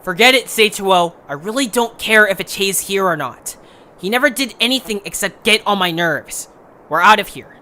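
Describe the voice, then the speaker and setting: A woman's voice: mid-range voice, lively intonation, normal speed, pushed and bright. A woman voicing a bossy, irritable male creature who leads a group in an audio drama, scolding and blunt. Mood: cold, commanding. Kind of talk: amateur audio drama acting.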